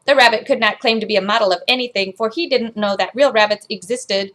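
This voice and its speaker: A woman's voice: on the high side, fast, a bit bright, lightly acted. A woman voicing a robot that reads a children's storybook aloud in a robotic delivery. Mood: mechanical, measured. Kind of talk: scripted storybook narration.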